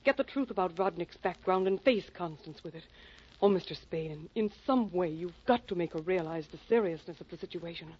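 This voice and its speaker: An actress playing an anxious, genteel widow, earnest and melodramatic, in an old-time radio drama. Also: female voice, lowish voice, expressive, fairly quick, slightly rough. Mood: pleading, dramatic. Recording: muffled old radio broadcast recording.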